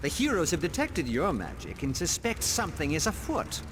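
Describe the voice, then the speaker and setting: An actor playing a scornful, mocking villain schemer in a game, delivered theatrically. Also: male voice, a little high, wildly swooping pitch, normal speed. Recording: echoing hall, noisy.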